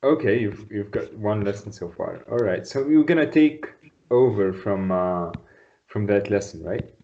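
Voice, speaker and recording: A man's voice: fairly low pitch, animated, normal speed, warm tone, slight background noise. A man from Tunisia tutoring a student one-on-one in an online lesson, patient and encouraging, often repeating his praise. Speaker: Arabic tutor. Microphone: video call. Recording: dull video call audio.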